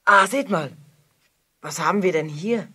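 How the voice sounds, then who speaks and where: Smart kid gang leader in an audio play, bit low voiced, sure of themselves. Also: female voice, a little slow, sharp tone, breathy edge, full character voice. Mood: confident deduction. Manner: confident, explanatory. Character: clever, self-assured young amateur detective, gang leader. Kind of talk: children's audio drama acting.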